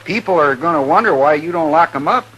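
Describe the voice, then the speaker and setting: A man's voice: on the high side, lively intonation, fast, a bit bright, husky. An American actor playing a folksy, meddling, over-friendly frontier townsman in a 1950s radio Western, hesitant and ingratiating. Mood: uneasy, ingratiating. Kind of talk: 1950s radio drama acting. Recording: noisy vintage 1950s radio recording.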